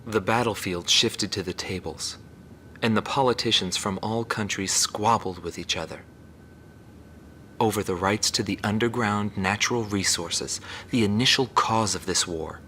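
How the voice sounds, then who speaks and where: An actor playing a reflective journalist narrating a war documentary in a measured, solemn way. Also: male voice, lowish voice, bright, forceful tone, slight background noise.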